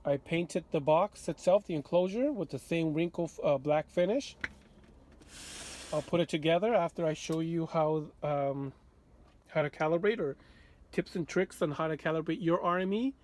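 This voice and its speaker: A man presenting a casual hands-on walkthrough as a radio restorer, informal and halting as he thinks aloud. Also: fairly high pitch, a little mellow.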